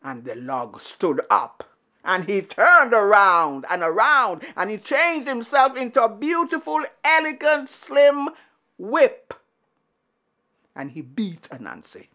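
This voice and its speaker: Slow, wildly expressive woman storyteller. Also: mid-range voice. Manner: dramatic, repetitive build-ups and pauses. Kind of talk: animated oral storytelling for children.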